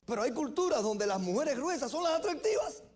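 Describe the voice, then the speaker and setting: Man, Cuban accent, pastor, sermon, high-pitched, emphatic, drawn-out stressed phrases, self-correcting. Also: big pitch swings, a little mellow. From Cuba.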